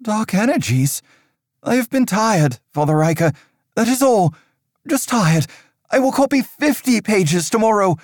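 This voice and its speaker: A man's voice: high-pitched, expressive, a little slow, no echo, clean studio sound, pitched above the performer's own voice. A man voicing a desperate young monk who pleads and grows menacing. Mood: pleading.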